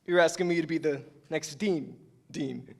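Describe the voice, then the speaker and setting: A young man playing a blustery high-voiced coach. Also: moderate pace, gravelly. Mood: friendly. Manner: loud, exclamatory outbursts. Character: brash young male sports coach, blustery. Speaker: actor in a staged reading. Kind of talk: amateur staged-reading acting.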